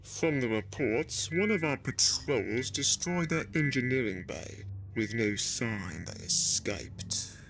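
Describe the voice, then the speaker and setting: A man's voice: mid-range voice, animated, slow pace, gravelly, over music, poor audio. A man playing a dutiful, literal-minded alien officer in a scripted audio drama, reporting in an earnest military manner.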